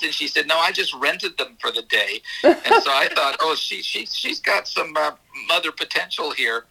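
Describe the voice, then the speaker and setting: A middle-aged man tells his story to an interviewer in a gravelly voice. His tone is bright, and he stops and restarts often. It sounds like a radio broadcast. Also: medium pitch, poor audio. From United States. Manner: halting, many fillers and restarts. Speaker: guest, ministry leader. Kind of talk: testimony interview.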